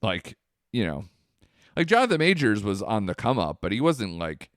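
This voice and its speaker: A man's voice: fairly low pitch, very animated, normal speed, no room sound, studio quality. A man co-hosting a podcast, talking loosely in casual chat, swearing now and then and trailing off. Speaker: podcast co-host.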